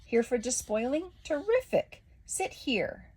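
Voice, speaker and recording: Woman voicing bumbling storybook professor, chirpy pitch, high and bright. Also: lively intonation, measured pace, a little hiss or hum. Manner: chirpy salesy patter. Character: cheerful, bumbling female food professor. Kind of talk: storybook character voice.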